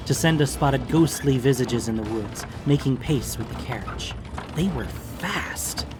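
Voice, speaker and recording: A man's voice: medium pitch, background noise. A man reading a scripted fantasy story as an audiobook narrator, in a dramatic storytelling style. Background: background music.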